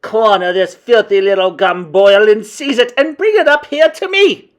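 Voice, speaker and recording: Male reader as storybook witch queen: shrill, loud, cruel, imperious, barking orders with wild swoops. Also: sing-song, a little slow, heavily acted. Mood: furious, commanding. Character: shrieking, triumphant, cruel female witch leader, commanding. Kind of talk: dramatised children's storybook reading.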